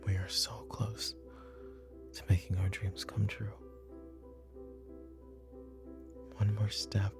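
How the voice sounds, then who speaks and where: Over background music comes a weary, short-tempered mafia boss, acted by a man in a roleplay ASMR drama. He speaks slowly and commandingly, in a gravelly, breathy voice suited to a proud, possessive father. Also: lowish voice, monotone. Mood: tired, muttering. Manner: commanding, curt, slipping into hushed muttering.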